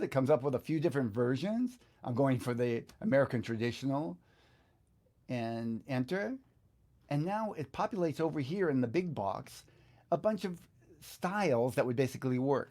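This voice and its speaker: A middle-aged man talks through a screen tutorial step by step. He sounds like an instructor chatting informally, thinking aloud as he goes. His voice sits at an average pitch for a man. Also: warm tone. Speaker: guitar instructor, video host.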